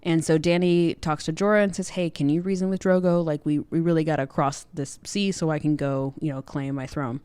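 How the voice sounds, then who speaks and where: A woman with a low voice in a dry, close recording chats casually as a podcast co-host. She retells a story informally, slipping into bits of dialogue as she goes. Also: fairly even intonation, brisk, hardly any pauses, a little mellow, clear, resonant tone, no echo. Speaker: book club podcast co-host. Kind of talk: casual chatty book recap.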